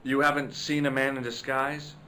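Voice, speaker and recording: An actor voicing a persistent, dry-witted young investigator in a video game. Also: male voice, medium pitch, level tone, moderate pace, sharp tone, slight background noise, lightly acted. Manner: dry, wry, matter-of-fact.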